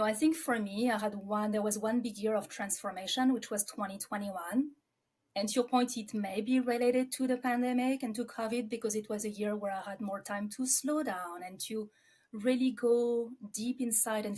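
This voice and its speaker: A woman's voice: mid-range voice, darkish tone. A woman in her forties from France, with a French accent, talking reflectively about herself as an interview guest. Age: 40s.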